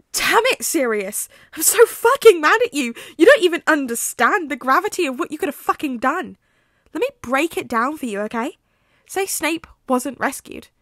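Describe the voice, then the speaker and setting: Furious teen werewolf boy, read by a woman, bitter, swearing, shouting, high-ish and dry. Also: husky, dry recording, full character voice.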